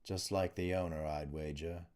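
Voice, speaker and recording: A dazed, wary male prisoner from a fantasy audiobook, played by a man reading. His voice is low and mellow. He talks in a subdued, halting way. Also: steady tone, clean tone, natural voice. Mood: wry. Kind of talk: audiobook character voice.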